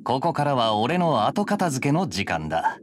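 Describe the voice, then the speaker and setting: Suave, slow-talking knight captain. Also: male voice, medium pitch, big pitch swings, very noisy. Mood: smooth, friendly. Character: suave, cocky, scheming young male knight captain. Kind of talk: Japanese anime game dialogue.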